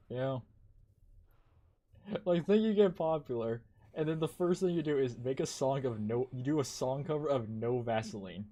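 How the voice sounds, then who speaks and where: A man's voice: medium pitch, measured pace, long pauses, warm tone. Rambling, cussing male streamer. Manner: rambling, profane, laughs often. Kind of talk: casual chat while gaming.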